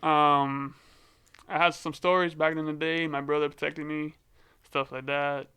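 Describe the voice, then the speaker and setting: Young man, stutters, clear bright tone, relaxed storyteller for a podcast chat. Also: on the high side, measured pace. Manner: stutters, repeats words. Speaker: podcast guest.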